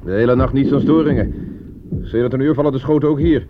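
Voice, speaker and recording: Man acting a brisk army switchboard operator, tinny noisy recording. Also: medium pitch, natural voice. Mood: businesslike. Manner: clipped, matter-of-fact military talk. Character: weary, businesslike wartime army switchboard operator in a dugout. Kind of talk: radio play acting. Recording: muffled narrow-band noisy old radio recording.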